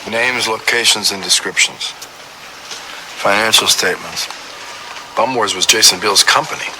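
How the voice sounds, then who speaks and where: A man's voice: on the low side, moderate pace, background noise, lightly acted. An actor playing a terse, matter-of-fact male police detective in a hardboiled audio crime drama.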